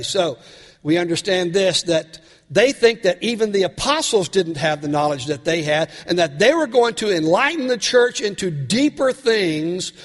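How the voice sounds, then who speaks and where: A man delivers a sermon with a voice worn raspy by a cold, his pitch sitting fairly high for a man. He keeps turning to the congregation with earnest questions. The long reverb of a church hall trails every phrase. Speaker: pastor.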